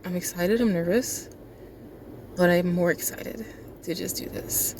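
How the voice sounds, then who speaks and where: A woman's voice: fairly low pitch, fairly even intonation, measured pace, a little mellow, slight background noise. A woman in her twenties, sleep-deprived, talking casually to a phone camera as she vlogs from an airport terminal, rambling and chatty with lots of fillers. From South Florida. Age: 20s. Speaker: vlogger and night shift nurse. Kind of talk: casual vlog chat.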